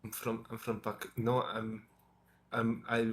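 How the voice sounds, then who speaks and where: A man's voice: medium pitch, completely flat delivery, slow pace, a bit bright. A young man calling into a debate stream, defensive and hesitant. He stumbles and restarts as he fends off pointed questions. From born in Pakistan, raised in London. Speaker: debate caller. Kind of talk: casual, defensive debate talk.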